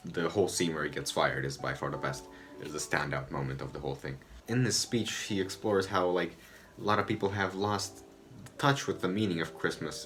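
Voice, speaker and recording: Low-voiced vlogger. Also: male voice, fairly bright tone. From Romania. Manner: loose, rambling, swears freely. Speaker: video essayist. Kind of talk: casual review commentary. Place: home room, indoors.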